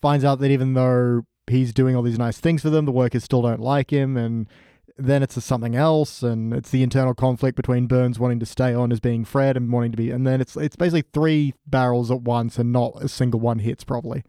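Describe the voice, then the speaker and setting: Male podcast guest, mellow tone, medium pitch, wry, casual conversation, dry recording. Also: fairly even intonation, brisk, dry recording. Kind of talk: casual episode review chat.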